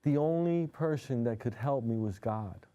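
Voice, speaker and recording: A man's voice: medium pitch, expressive, moderate pace, mellow, muffled recording. A man from New York City whose first language is Spanish, a hypnotherapist guest talking earnestly and openly in a TV studio interview. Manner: heartfelt, open, speaks with conviction. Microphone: studio TV set.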